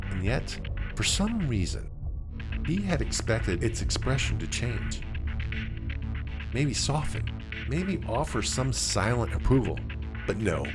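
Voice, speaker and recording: A man narrating a scripted mystery story in a dramatic, suspenseful way with deliberate phrasing. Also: fairly low pitch.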